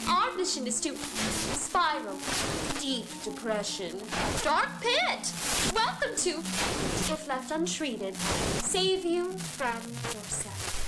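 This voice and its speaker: A woman's voice: high-pitched, big pitch swings, slow pace, very noisy, heavily acted. A woman playing an eerily soothing, coaxing institute announcer with syrupy sales patter, in a horror audio drama's mock advert. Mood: glitching, eerie. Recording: echoey, music behind.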